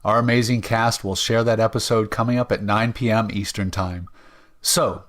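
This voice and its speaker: A man's voice: fairly low pitch, steady tone, normal speed, fairly bright tone. A man announcing as host of a radio drama show, reading a scripted sign-off.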